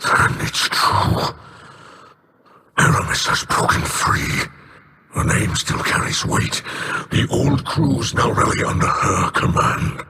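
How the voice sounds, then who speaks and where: A man voicing a grave, weary alien leader and stern father in a video game, in a solemn, breathy growl. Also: medium pitch, slow pace, sharp tone, full character voice. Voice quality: very husky, rasping, breathy growl. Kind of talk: scripted game voice acting.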